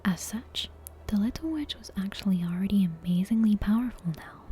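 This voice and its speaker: A woman reading a bedtime story as ASMR in a soft, breathy, unvoiced whisper, with hushed and deliberate narration. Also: mid-range voice, slight background noise. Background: soft game soundtrack music.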